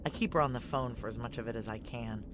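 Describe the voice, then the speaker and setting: A woman's voice: very deep voice, quick pace, background noise. A woman playing a guarded, brooding woman on the run who narrates a noir audio drama in a terse, wry, confiding way.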